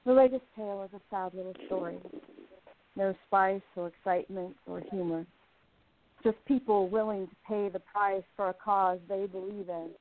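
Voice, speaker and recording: A woman's voice: medium pitch, natural voice. Orchid, an earnest 1920s columnist, played by a woman. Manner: earnest, impassioned about women's rights. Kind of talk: amateur radio play acting. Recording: tinny phone-like audio.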